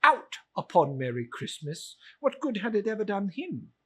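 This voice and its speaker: Old miser for a storybook reading, voiced by the narrator: bitter, brooding, rough-voiced, halting with regret. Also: medium pitch, big pitch swings, sharp tone, pitched above the performer's own voice, full character voice. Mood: scornful.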